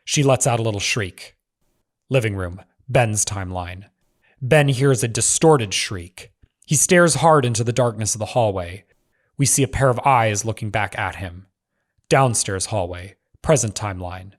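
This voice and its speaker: A man narrating screenplay stage directions in measured, clipped phrases. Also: on the low side, lively intonation.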